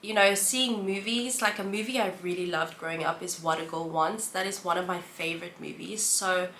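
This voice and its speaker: South African woman, thirties, bright voice, casual reflective vlog chat, roomy sound. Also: on the low side, slight background noise. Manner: chatty, reflective, with listing pauses. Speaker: vlogger. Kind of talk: casual sit-down chat to camera. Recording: roomy echo, faint background ambience.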